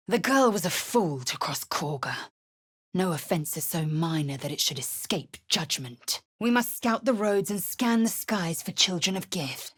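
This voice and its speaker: Game role: proud female githyanki warrior; low, very husky, slow and commanding, contemptuous. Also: a bit bright, full character voice. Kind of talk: video game character lines.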